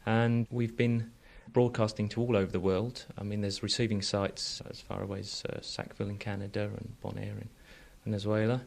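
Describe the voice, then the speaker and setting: Lowish-voiced male engineer, informal and halting. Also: little pitch movement, creaky, a little mellow. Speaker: transmitting station engineer being interviewed. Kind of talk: conversational technical explanation.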